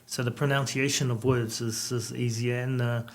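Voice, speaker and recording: A man, a Samoan speaker, giving calm, measured interview answers with many hesitations. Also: mid-range voice. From New Zealand. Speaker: rugby coach, guest.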